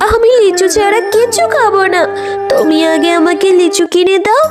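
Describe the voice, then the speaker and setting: A woman's voice: very high voice, normal speed. A woman voicing a small, stubborn fox girl in a cartoon, who speaks in a whiny, eager way. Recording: voice with background music.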